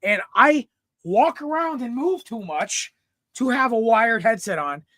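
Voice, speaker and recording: A young man hosting a casual sports podcast from home, a little raspy from smoke, rambling and clearing his throat often. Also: extremely high pitch, lively intonation, unhurried, fairly bright tone, dry recording, studio quality. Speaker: podcast host. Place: room at home. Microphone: computer mic, no headset.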